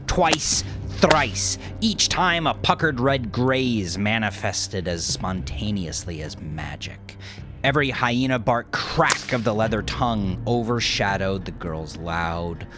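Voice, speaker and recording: A man narrating a dark audiobook story in a grave, suspenseful, deliberate manner. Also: lowish voice. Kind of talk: dramatic scripted audiobook narration. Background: music under the voice.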